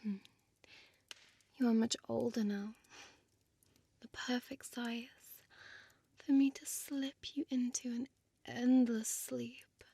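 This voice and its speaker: A woman's voice: on the high side, vocal fry, dark tone, slightly rough, no echo, heavily acted. A woman playing a seductive, menacing female vampire in an intimate audio roleplay, toying with her prey in a teasing half-whisper and archaic wording. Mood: seductive, possessive.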